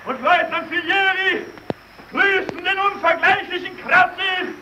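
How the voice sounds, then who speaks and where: Officer, radio drama, exuberant, very high strained voice, drawn-out declaiming, broken German. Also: male voice, sharp tone, far from the mic, some chatter behind, slight background noise, heavily acted, rough recording. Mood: jubilant, proclaiming. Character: exuberant Italian polar expedition officer, shouting greetings. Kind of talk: German radio play acting.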